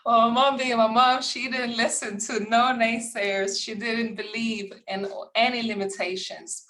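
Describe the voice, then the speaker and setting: An earnest woman, welcoming an audience as host. She speaks in an encouraging tone at an average pitch, sometimes restarting her sentences as she tells a personal story. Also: a bit bright. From Lithuania. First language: Lithuanian. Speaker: summit host.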